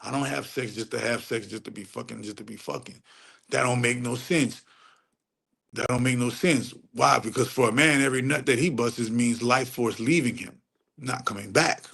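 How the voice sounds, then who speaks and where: Casting: middle-aged man, mid pitch, preachy streamer, crude and emphatic, repeats himself. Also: moderate pace, gravelly, a bit bright, husky. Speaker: livestream host answering chat. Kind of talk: casual unfiltered livestream rant.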